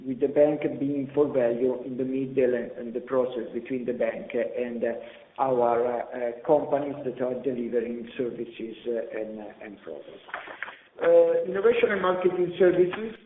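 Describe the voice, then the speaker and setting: A man presenting company results as an executive on a conference call, speaking haltingly with repeated words and drawn-out pauses. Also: mid-range voice. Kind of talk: earnings conference call presentation, semi-scripted business talk. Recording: echoey hall reverb, slightly dull conference-call audio.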